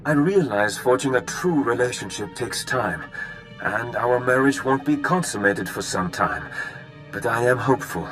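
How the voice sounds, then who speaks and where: Man playing a noble count, formal and earnest, medium pitch, courtly suitor type from a video game. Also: lively intonation, moderate pace, over music, close to an everyday voice, low-quality recording. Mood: earnest, hopeful. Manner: formal, solemn, gracious. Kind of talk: scripted video game dialogue.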